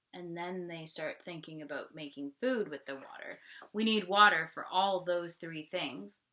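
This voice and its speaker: A woman's voice: on the low side, bright, forceful tone. A woman from Canada reading a picture book aloud as a teacher and explaining it simply to children.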